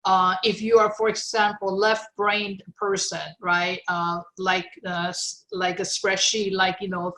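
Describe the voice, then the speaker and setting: A woman's voice: mid-range voice, flat delivery, measured pace, hardly any pauses, pushed and bright. Middle-aged woman guest, first language Chinese, reflective and hesitant, many ums and restarts. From China. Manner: thoughtful, many fillers and restarts. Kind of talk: interview, personal story.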